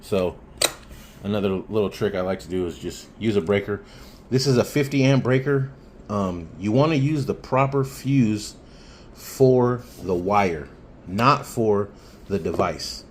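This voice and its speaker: A man with a fairly low voice gives a casual hands-on tutorial. He is plainspoken and informal, working out his explanation as he goes. There is some background noise on the recording. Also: a little slow. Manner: informal, plainspoken, thinking aloud. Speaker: hobbyist installer giving a how-to.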